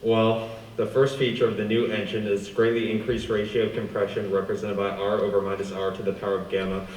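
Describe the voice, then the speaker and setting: A man's voice: fairly low pitch, little pitch movement, brisk, a little mellow, lightly acted. A man acting in a radio play as a deferential music-hall memory man who recites by rote. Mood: reciting. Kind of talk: stage radio drama acting. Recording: echoey hall from far off, faint noise.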